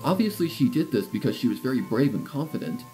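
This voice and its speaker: A man's voice: medium pitch, big pitch swings, quick pace, rough recording. A man narrating a scripted story as an audiobook-style reader. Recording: soft music behind the voice.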